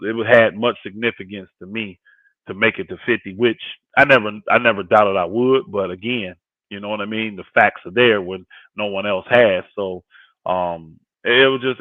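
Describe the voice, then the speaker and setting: A man's voice: lowish voice, steady tone, normal speed, dry recording. A man of fifty in casual, emphatic sports talk on a livestream, often repeating phrases to drive a point home. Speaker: guest co-host and sports commentator on a live panel. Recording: thin phone-like audio.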